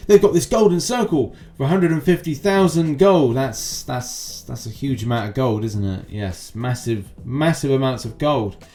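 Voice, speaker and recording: A man's voice: mid-range voice, normal speed, darkish tone, soft background music. A man giving casual, rambling gaming news commentary as a channel host, with chatty asides.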